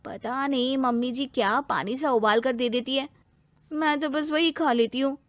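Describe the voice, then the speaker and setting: A woman's voice: high voice, normal speed, dry recording, close to an everyday voice. A woman voicing a self-pitying, work-shy young daughter-in-law in a moral story, with a whiny, wheedling way of complaining. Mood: peevish complaining. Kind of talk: animated moral story dialogue.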